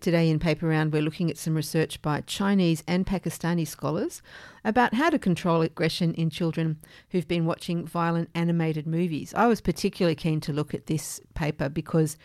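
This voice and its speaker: Low-voiced woman hosting a research discussion. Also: darkish tone, no room sound, clean studio sound. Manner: inquisitive, thoughtful, affirming listener. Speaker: podcast host and interviewer.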